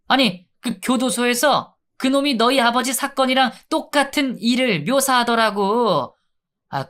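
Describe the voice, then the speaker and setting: A man voicing a sneering, wheedling male convict in drug withdrawal, who taunts and then begs with drawn-out sighs. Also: extremely high pitch, bright, forceful tone, voice pushed up.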